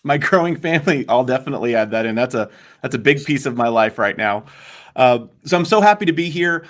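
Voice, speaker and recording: A man's voice: medium pitch, animated, a little fast, a bit bright. A man speaking fluently and in a friendly way as a trainer and guest on a live online panel. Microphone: video call.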